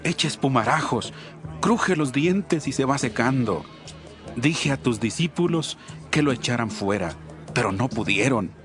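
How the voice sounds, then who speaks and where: A man's voice: mid-range voice, expressive, unhurried, a bit bright, soft background music. A man playing a troubled father who pleads earnestly in a dramatized scripture reading. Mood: distressed, pleading. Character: troubled father pleading for his afflicted son.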